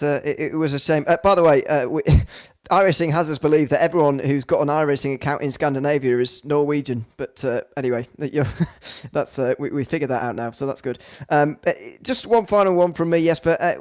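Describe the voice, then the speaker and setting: A man with a slightly high voice works as a live sim racing commentator and host. The sound is dry and close, and his delivery is a little stop-start. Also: darkish tone, no room sound.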